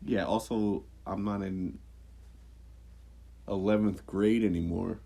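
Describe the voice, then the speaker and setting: A man co-hosting a podcast, chatting casually, swearing freely and jumping into squeaky mock voices. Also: fairly even intonation, slow, vocal fry, dark tone. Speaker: podcast co-host. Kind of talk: casual chat.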